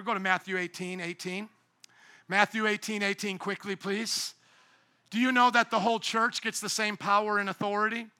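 A man pastoring a church congregation, speaking with a strikingly high pitch. His tone is sharp and bright, and he preaches with emphasis and humor, often getting the crowd to echo words back. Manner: emphatic, calls for congregation responses; playful, jokes at himself. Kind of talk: sermon. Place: church sanctuary.

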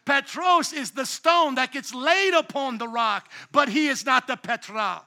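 A man's voice: extremely high pitch, measured pace, sharp tone. A man preaching a sermon as pastor in a church, emphatic and playful, calling on the congregation to repeat words back to him. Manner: emphatic, calls for congregation responses; playful, jokes at himself. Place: church sanctuary.

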